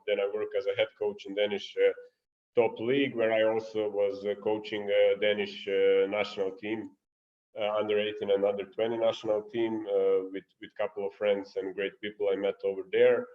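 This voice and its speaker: Serbian-accented male coach, flat low delivery, lo-fi call sound. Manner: earnest, searching for English words. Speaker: guest coach. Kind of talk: online coaching clinic talk. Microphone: video call.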